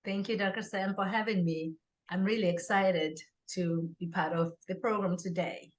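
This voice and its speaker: A woman's voice: lowish voice, fairly even intonation, normal speed. A woman answering as an interview guest in a conversational style. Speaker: interview guest, author, educator and singer.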